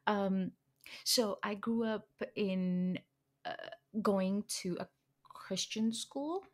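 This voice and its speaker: A young woman with an Indian accent chats as a podcast guest, her voice sitting in a middle pitch. She talks loosely with lots of fillers and restarts and laughs often. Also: extremely slow. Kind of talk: casual conversation.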